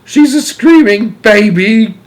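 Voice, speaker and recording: A man voicing an excitable old grandfather in a storybook, flustered and exclaiming loudly. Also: on the high side, moderate pace, pitched above the performer's own voice. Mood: alarmed. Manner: shouting, agitated, exclamatory. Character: excitable old grandfather, flustered and alarmed about his wife.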